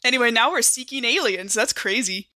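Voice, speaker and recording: Woman playing bright, rambling young space Marine medic. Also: a little high, animated, brisk, dry recording. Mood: chatty, reminiscing. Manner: rambling, playful, slangy. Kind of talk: improvised in-character tabletop roleplay.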